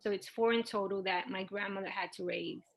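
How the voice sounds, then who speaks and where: A woman's voice: mid-range voice, fairly even intonation, brisk, gravelly, a little hiss or hum. A middle-aged woman, telling her personal story as an interview guest in a candid, informal way. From Puerto Rico and Brooklyn, New York.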